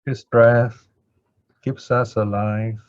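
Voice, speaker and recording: A man speaking as a meditation teacher in measured short phrases with many pauses, guiding or chanting. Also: on the low side, flat delivery, no echo. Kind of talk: slow guided meditation instruction and Pali chanting. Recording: dull lo-fi audio.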